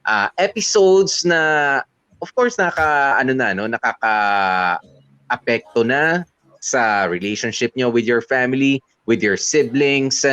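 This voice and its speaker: A man's voice: medium pitch, animated, takes it slowly, pushed and bright, clean tone, no room sound. A man with a Filipino accent co-hosting a radio show, chatting casually in Taglish and often checking in with tag questions. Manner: conversational, punctuated with tag questions. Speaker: radio DJ co-host. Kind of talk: casual radio talk with Taglish advice to listeners.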